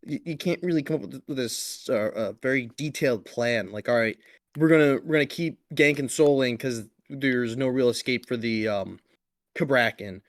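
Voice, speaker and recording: A man giving live esports commentary and analysis as a shoutcaster. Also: medium pitch.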